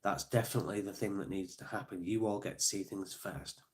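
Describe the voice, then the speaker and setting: A man's voice: sits low, steady tone, fairly quick, darkish tone. Congested man chatting on a live stream. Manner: chatty, thanks viewers by name, many fillers. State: congested, sniffly. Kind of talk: casual live-stream chat.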